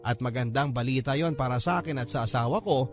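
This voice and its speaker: Man, radio drama host, letter narration, medium pitch, strong music bed. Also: fast.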